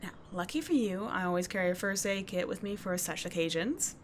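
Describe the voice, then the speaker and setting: A woman's voice: mid-range voice, animated, a little fast, slight background noise, close to an everyday voice. A woman playing a tough but caring teenage delinquent schoolgirl in an ASMR roleplay, speaking in a slangy, blunt yet soothing way. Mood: brisk, teasing. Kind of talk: ASMR roleplay audio drama.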